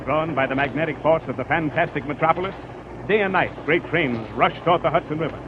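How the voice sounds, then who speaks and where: A man's voice: a little high, fast, noisy background. A man narrating an old-time radio drama introduction in a grandiose, dramatic declamation. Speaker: radio announcer. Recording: dull old radio recording, loud background music.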